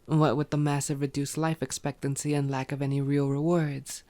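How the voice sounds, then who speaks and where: A woman's voice: deep voice, flat delivery, normal speed, mellow, lightly acted. A woman playing a sardonic, teasing, bloodthirsty female vampire in an ASMR roleplay, drawing out words and sometimes sinking toward a whisper. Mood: grudging, sardonic. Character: teasing, unrepentant bloodthirsty female vampire, old yet youthful-sounding.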